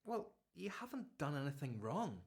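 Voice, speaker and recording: An actor playing a loyal disciple in a radio Bible drama, earnest and reassuring. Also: male voice, fairly high pitch, very animated, moderate pace, gravelly. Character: loyal male disciple consoling an imprisoned friend.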